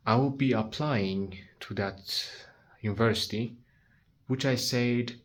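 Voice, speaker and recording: A nineteen-year-old man talking frankly to his handheld phone in a casual vlog, swearing often and restarting his sentences. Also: on the low side. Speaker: vlogger.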